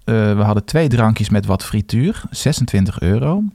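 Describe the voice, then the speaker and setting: Brief: male podcast co-host, low-ish voice, measured and agreeable, dry close sound. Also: expressive, vocal fry, dark tone, no echo. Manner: measured, agreeable, short affirmations, some hesitations. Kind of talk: casual podcast chat.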